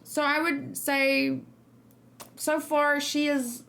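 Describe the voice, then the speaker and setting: Female livestream host, fairly high voice, casual and informal, musing through game discussion off the cuff. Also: flat delivery, slow, creaky, a bit bright. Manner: informal, musing, thinking aloud. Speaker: streamer and reaction host.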